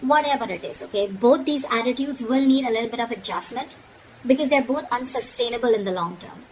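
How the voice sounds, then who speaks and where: A woman's voice: fairly high pitch, a little fast, a little mellow, rough recording. A woman giving an informal, frank tarot reading, speaking directly to the listener and often checking in as she admonishes. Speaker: tarot reader. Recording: noticeable background noise.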